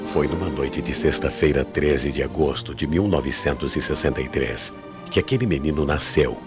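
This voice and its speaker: Brazilian man, extremely deep voice, solemn suspenseful storytelling, radio mystery narrator, music underneath. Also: normal speed. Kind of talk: dramatic scripted narration of radio mystery tales.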